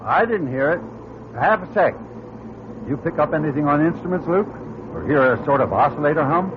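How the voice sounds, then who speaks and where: Male actor for brave astronaut, vintage radio play. Animated intonation, level-headed, narrow and hissy sound. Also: on the high side, a little fast, lightly acted. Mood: businesslike. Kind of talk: old-time radio drama acting. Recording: muffled, very noisy old radio recording.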